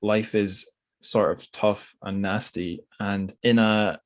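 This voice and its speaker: A man's voice: lowish voice, fairly even intonation, slow. A man hosting a conversational literary interview, hesitant and rambling as he thinks aloud. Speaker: podcast host.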